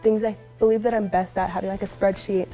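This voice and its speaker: A woman's voice: mid-range voice, little pitch movement, fast talker, warm tone, faint music behind. A young woman from West Texas, a university student, answering interview questions earnestly and reflectively. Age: young adult. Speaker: alumna interviewee.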